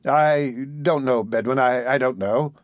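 A man voicing a warm-hearted, flustered old gentleman, hoarse and gruff, halting and clearing his throat. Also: medium pitch, dry recording, close to an everyday voice. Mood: gruff, tender.

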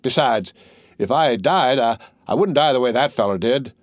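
A folksy wounded country soldier rambles on in a halting backwoods drawl, as a man reading an audiobook puts him on. The voice sits up higher than a plain speaking voice. It is recorded dry and close, with no room sound. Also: expressive, no echo, close to an everyday voice. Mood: rambling folksy. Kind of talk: audiobook character voice.

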